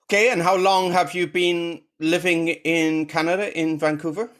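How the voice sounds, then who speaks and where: A man's voice: fairly high pitch, fairly even intonation, moderate pace, a bit bright. A man hosting a business podcast, reading an introduction and asking interview questions, friendly but hesitant when he improvises. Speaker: podcast host.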